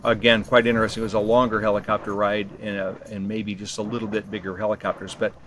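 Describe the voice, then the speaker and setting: A man with a fairly low voice talks casually, as if answering interview questions. He rambles a little, stopping to rephrase and correct himself. There is clear background noise on the recording. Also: flat delivery, quick pace, fairly bright tone. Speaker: interviewee, ham radio expedition team member. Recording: clearly noisy location sound.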